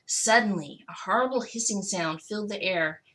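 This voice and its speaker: A woman's voice: mid-range voice, normal speed, fairly bright tone, low-quality recording. A woman reading a children's picture book aloud expressively, stretching out key words for emphasis. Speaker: school technology coordinator reading to children.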